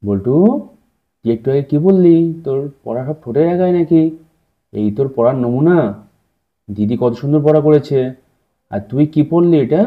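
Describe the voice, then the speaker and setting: A man's voice: mid-range voice, lively intonation, moderate pace, pauses often, phone-quality sound, natural voice. A man playing a village father who teaches his children firmly, with praise, in a puppet story. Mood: scolding. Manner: instructive, parental.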